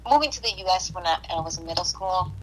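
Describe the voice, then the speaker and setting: A woman from Puerto Rico chatting casually on a podcast, hesitant, with restarts and soft laughs. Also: fairly low pitch, expressive, fast talker, slight background noise, low-quality recording. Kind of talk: casual conversation.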